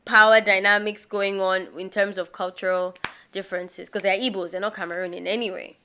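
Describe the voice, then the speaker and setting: A woman's voice: mid-range voice, brisk, a little hiss or hum. A young Nigerian woman co-hosting a casual podcast chat, telling stories with emphatic reactions. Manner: animated storyteller, emphatic. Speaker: podcast co-host.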